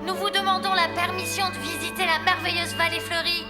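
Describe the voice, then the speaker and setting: An eager young lion cub boy from a cartoon, with a very high childlike voice. He speaks politely and earnestly over background music. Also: level tone, brisk. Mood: polite, formal. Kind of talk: cartoon dub acting. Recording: music under the dialogue.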